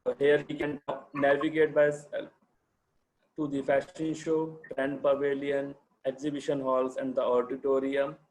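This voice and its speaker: A man's voice: mid-range voice, completely flat delivery, unhurried, a little mellow, a little hiss or hum, low-quality recording. A man with an Indian English accent giving a guided demonstration of a web platform, speaking deferentially to the host. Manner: deferential, calls the host sir.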